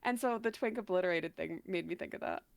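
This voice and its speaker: A woman's voice: fairly low pitch, fast talker, vocal fry, no room sound. A woman chatting casually as a guest on a live stream. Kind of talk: casual chat.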